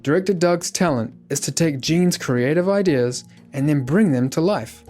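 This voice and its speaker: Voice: mid-range voice, moderate pace, darkish tone. Documentary narrator over faint music.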